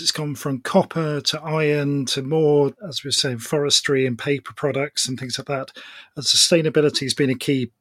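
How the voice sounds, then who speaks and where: A man hosting a podcast, introducing his guest and asking relaxed, plain questions. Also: a little high, breathy edge, no room sound. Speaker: podcast host. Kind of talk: interview hosting.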